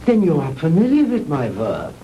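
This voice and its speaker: An actor playing a proud, fanatical mad scientist with a German accent, courteous and condescending, in 1960s B-movie style. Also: male voice, a little high, wildly swooping pitch, mellow. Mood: self-assured. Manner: grandiose, condescending, deliberate. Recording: noisy old 1960s film soundtrack.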